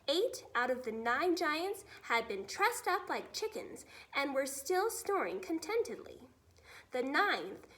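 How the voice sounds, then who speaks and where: A woman narrating a children's storybook expressively, with dramatic, deliberate emphasis. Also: sits high, fairly bright tone. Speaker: teacher reading a children's book aloud.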